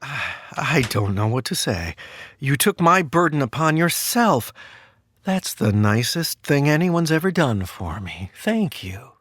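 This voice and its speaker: Earnest, unhurried presidential aide. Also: male voice, mid-range voice, big pitch swings, a bit bright, no echo, full character voice. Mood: touched, sighing. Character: earnest, hapless male presidential aide, mild and grateful. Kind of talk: audio sitcom acting.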